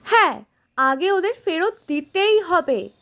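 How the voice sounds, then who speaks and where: A woman's voice: extremely high pitch, very animated, slow pace, fairly bright tone, exaggerated voice. A woman playing a bold, cheeky, loyal young girl in an audio story, eager and outspoken. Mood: defiant. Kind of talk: Bengali audio story acting.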